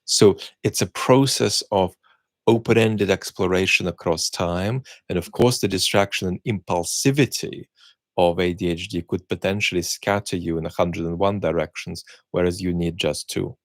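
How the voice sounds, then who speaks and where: A middle-aged man with a deep, gravelly voice talks through viewer questions in a measured, reflective way. He grew up in the Soviet Union with Russian as his first language, and he pauses often to search for words. The recording is dry and close, with no room echo. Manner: measured, thinking aloud with many ums and pauses. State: unwell, speaking while cared for. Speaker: host answering viewer questions. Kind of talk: reflective solo chat.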